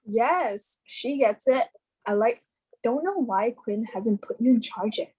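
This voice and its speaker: A woman's voice: on the high side, unhurried, a little mellow, breathy edge, low-quality recording. A woman playing a flustered, defiant young receptionist in a comedy web series, informal and exasperated. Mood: breezy.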